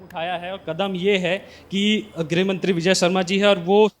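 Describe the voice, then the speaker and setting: A man's voice: high-pitched, flat delivery, normal speed, a little hiss or hum. A man working as a field reporter, delivering a TV news report and putting questions to an interviewee.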